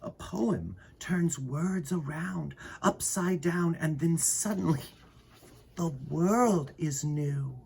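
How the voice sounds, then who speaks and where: A man voicing a kindly, smiling old grandmother in a storybook, speaking gently and thoughtfully. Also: fairly high pitch, slow pace, creaky, a little hiss or hum, voice pushed up. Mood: gentle, musing.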